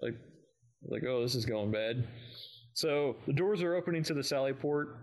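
Male podcast co-host, mid-pitched, casual recap style, informal and halting delivery. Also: animated, a little mellow. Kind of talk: casual recap of an audio drama.

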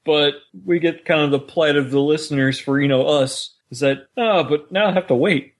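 A man's voice: a little high, normal speed. A man co-hosting a fan podcast in casual, conversational chat, often slipping into quoted lines. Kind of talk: casual chat about an audio drama.

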